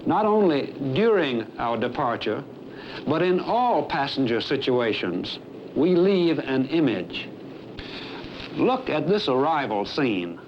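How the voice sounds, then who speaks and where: An American man in his fifties giving a formal, scripted address as an airline executive, emphatic, earnest and deliberate. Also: mid-range voice, sing-song. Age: 50s. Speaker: airline executive presenting a staff training film. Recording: dull, noisy 1970s film soundtrack.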